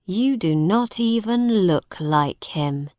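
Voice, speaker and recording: A woman's voice: medium pitch, animated, slow pace, a little mellow, no echo, studio quality. A woman doing a stern, commanding angry man. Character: harsh, angry grown man, a usurping brother.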